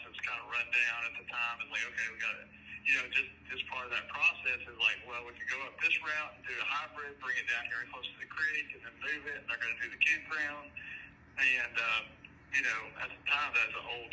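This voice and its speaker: A man with an average-pitched voice speaks over a clearly noisy telephone line. He sounds like a government employee explaining procedure, defensive and halting, often repeating himself as he goes. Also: sharp tone. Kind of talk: explanatory phone conversation.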